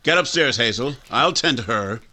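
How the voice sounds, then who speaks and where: An actor playing a cruel, domineering middle-aged father in a 1940s-style radio drama, barking gruff orders. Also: medium pitch, animated, normal speed, heavy background noise, lightly acted. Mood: shocked, accusing. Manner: gruff, bullying commands.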